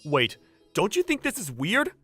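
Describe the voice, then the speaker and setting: A man's voice: high-pitched, wildly swooping pitch, a little slow, bright, forceful tone, slightly rough, no echo, faint music behind, exaggerated voice. A young man playing a polite, cautious new employee, speaking dialogue and first-person narration in a manga dub. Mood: flustered, wary. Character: hesitant, polite young man, newly hired junior employee.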